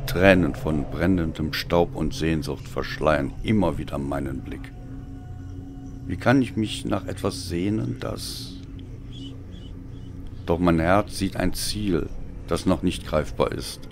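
A man reading a dark audio story as a dramatic narrator, with a brooding, solemn delivery. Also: low voice, takes it slowly, gravelly, over music. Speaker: audiobook reader.

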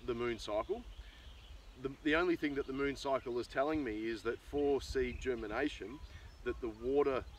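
A middle-aged Australian man talking casually and unscripted, thinking aloud as a farmer making a vlog in an open field. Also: mid-range voice. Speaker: farmer and video host. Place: outdoors in an open rural pasture. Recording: distant outdoor pickup with ambient noise.

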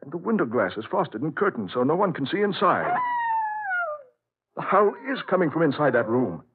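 A husky-voiced, inquisitive man on edge in a vintage radio play. His pitch swings widely as he questions everything. The sound is narrow and muffled, like an old broadcast. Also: very animated, normal speed, heavily acted. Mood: wary, investigating. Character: uneasy, inquisitive man visiting an old friend. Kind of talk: 1940s radio drama acting.

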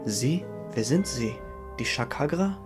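A woman playing an impatient, bickering, bossy adventurer with a husky voice in an audio drama. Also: extremely low pitch, expressive, a little slow. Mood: excited, bossy. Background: background music.

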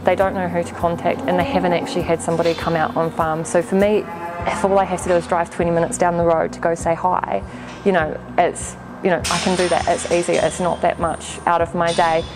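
A British woman in her thirties speaks in an interview testimonial. Her voice sits a little low, and music plays clearly behind her. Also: level tone, a little fast, hardly any pauses. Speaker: agricultural bank relationship manager. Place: indoor milking parlour. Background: background music.

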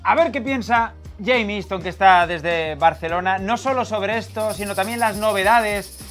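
A man's voice: high voice, moderate pace, a bit bright, faint music behind. A man in his thirties hosting a casual sports talk show in a TV studio. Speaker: studio host.

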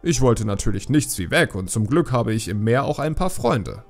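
A man voicing an excited fisherman who tells his tales in a colloquial, animated way for a fantasy audiobook. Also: mid-range voice, moderate pace, creaky, faint music behind. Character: excited male fisherman recounting a wild sea escape.

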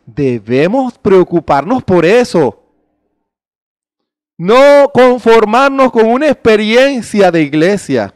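A man's voice: sits high, very animated, takes it slowly, no echo, clean studio sound. A middle-aged man preaching a sermon into a handheld mic on a small indoor stage, emphatic and full of rhetorical questions.

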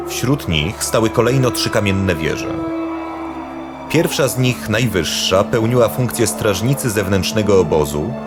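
A man narrating a scripted dark fantasy audio drama as the storyteller. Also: fairly low pitch. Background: music.

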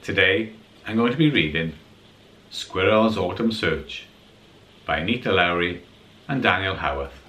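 A man in his forties reading a children's storybook aloud as scripted narration, in a measured, expressive way. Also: deep voice, slightly rough. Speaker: children's storybook reader and host.

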